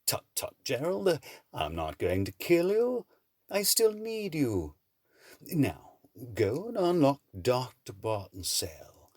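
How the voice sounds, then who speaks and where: A man's voice: medium pitch, wildly swooping pitch, slow, darkish tone, no room sound, heavily acted. A man playing a suave, menacing villain in an audio drama, mannered, condescending and mockingly polite. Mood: scornful.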